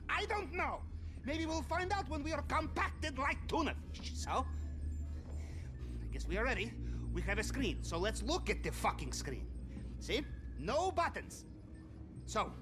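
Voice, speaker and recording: An actor playing an eccentric, gloomy submarine captain in a video game, with a fretful, stilted way of talking. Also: male voice, extremely high pitch, very animated, moderate pace, over music. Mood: baffled, fretful.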